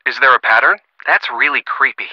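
An actor playing an earnest, gently sardonic young American boyfriend in a video game, talking conversationally and expressively with a youthful voice. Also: male voice, mid-range voice, fairly quick, breathy edge, no echo, lo-fi sound, natural voice. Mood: curious, thoughtful. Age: young adult. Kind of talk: video game phone-call dialogue.

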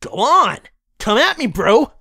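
A man's voice: very high voice, very animated, measured pace, gravelly, sharp tone, dry recording, studio quality, full character voice. A man voicing a vain, pleading young man in an amateur cartoon parody, stammering and whiny. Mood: frantic.

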